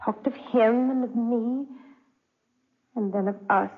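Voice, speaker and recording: A woman's voice: fairly high pitch, fairly even intonation, takes it slowly, husky, heavily acted. An actress playing a refined, unhappily married young lady, proper but emotional, in 1940s radio style. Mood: wistful, confiding. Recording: dull, muffled 1940s radio recording.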